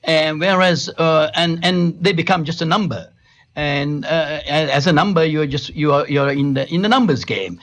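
A man's voice: a little high, moderate pace, a bit bright. Hesitant man from Sri Lanka. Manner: hesitant, many restarts and fillers. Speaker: telecom company founder, guest. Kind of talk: studio interview answers. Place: studio.

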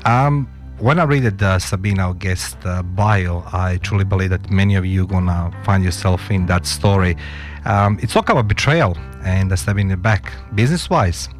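Man, radio host, rambling, animated, lowish voice, faint music. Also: moderate pace. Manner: rambling, animated, fumbling phrasing. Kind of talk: talk radio interview. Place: radio studio.

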